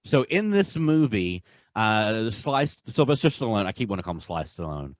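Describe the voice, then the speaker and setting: A man's voice: lowish voice, very animated, moderate pace, no echo, clean studio sound. A young man co-hosting a podcast in casual chat, rambling and stammering as he repeats words. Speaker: podcast co-host.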